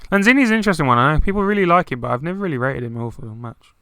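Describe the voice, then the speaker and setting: Bright, clear-voiced young man. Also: fairly high pitch, animated, racing, no room sound. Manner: chatty, many "like" fillers. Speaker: podcast co-host. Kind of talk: casual football chat.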